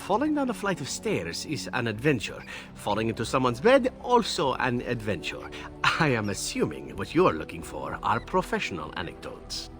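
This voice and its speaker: An actor playing a suave, flirtatious assassin in a fantasy video game, telling tales in a wry, teasing, theatrical way. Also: male voice, medium pitch, huge pitch swings, moderate pace, music in the background. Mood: amused, teasing. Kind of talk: video game character acting.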